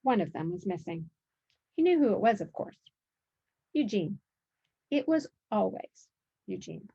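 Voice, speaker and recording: A woman's voice: mid-range voice, very animated, a little slow, gravelly, mellow, breathy edge, dull audio. A woman narrating a children's picture book aloud as its author.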